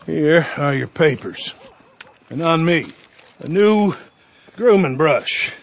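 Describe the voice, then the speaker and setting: Affable American horse dealer. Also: male voice, a little high, animated, slow pace, creaky, slight background noise, heavily acted. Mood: friendly, satisfied. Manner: salesmanlike, chatty. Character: affable, folksy Old West horse dealer.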